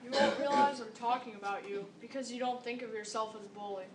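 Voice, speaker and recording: A woman's voice: on the high side, fairly even intonation, fast. A young teenage girl performing a scripted readers theater recitation as a student. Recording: echoey room, distant mic, background noise.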